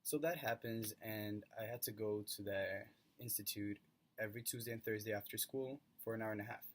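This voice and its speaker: A man's voice: fairly low pitch, a little fast. Young Brazilian man telling his story. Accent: Brazilian Portuguese. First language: Portuguese. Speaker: student. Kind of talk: personal narrative presentation.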